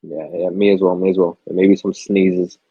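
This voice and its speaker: A man's voice: low voice, level tone, normal speed, warm tone, breathy edge, no room sound, muffled recording. A young man co-hosting a podcast, telling rambling stories in casual chat, swearing freely and a little stuffy from a cold. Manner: laid-back, swears freely, rambling storyteller. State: stuffy, under the weather. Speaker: podcast co-host.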